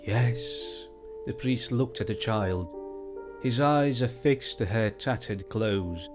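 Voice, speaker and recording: A man reading a fantasy story aloud in a measured, even storytelling voice. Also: on the low side, music in the background. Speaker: story reader.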